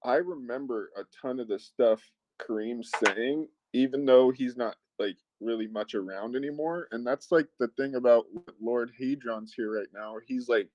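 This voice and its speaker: A man's voice: mid-range voice, moderate pace, a little mellow, dry recording, muffled recording. A young man in a casual voice chat, rambling and filling his speech with "like". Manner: rambling, heavy use of "like". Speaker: chat participant.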